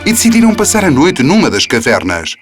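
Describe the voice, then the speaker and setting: Portuguese male storyteller, loud music behind. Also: fairly high pitch, wildly swooping pitch, fairly quick. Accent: European Portuguese. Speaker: narrator. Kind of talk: scripted children's fairy tale narration.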